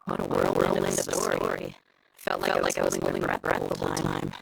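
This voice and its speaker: A man's voice: a bass voice, huge pitch swings, normal speed, creaky, full character voice, poor audio. A man playing a kindly, level-headed young leader in an anime game, encouraging and practical. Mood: relieved. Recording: loud music behind the voice.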